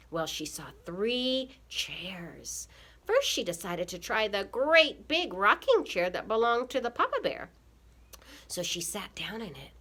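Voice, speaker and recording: Animated woman telling a children's story. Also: medium pitch. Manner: expressive, simple repetitive phrasing for young children. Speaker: preschool teacher and storyteller.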